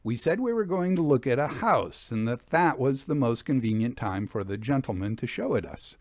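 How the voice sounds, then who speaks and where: A man reading a Victorian ghost story as scripted narration, in a measured storytelling delivery. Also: mid-range voice, animated, darkish tone, dry recording, clean studio sound. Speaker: audiobook reader.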